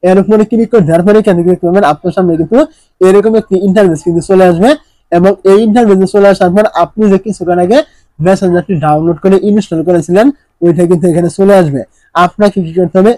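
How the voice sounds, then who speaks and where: High-pitched, quick-talking man giving instructions. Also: steady tone, dark tone, no room sound, rough recording. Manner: repetitive, instructional, poses questions then answers them. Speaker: tech tutorial presenter. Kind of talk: step-by-step phone tutorial narration.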